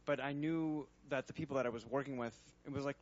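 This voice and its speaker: A man's voice: mid-range voice, fairly even intonation, quick pace. A man in a workshop audience talks through his research work in a middle-range voice. He rambles and hesitates, circling his point with fillers. The sound is dull and lo-fi. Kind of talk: casual workshop Q&A.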